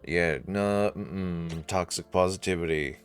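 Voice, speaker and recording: A man's voice: sits low, measured pace, over music. A man playing through a game with casual commentary, wry asides and read-aloud narration. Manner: laid-back, wry asides. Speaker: video game let's player.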